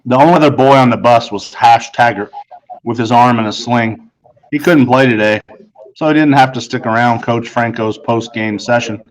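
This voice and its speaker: A man reads a scripted story aloud in the first person. His voice sits at a middle pitch, and he delivers formal wording with precision. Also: normal speed, slight background noise, rough recording. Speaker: audiobook reader.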